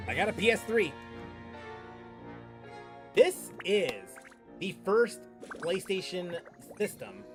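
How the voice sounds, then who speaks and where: A young man streaming games, chatting casually in a rambling, halting way and repeating phrases for emphasis. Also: high-pitched, fairly bright tone. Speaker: gaming streamer. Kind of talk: casual, rambling gameplay chat. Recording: game music behind.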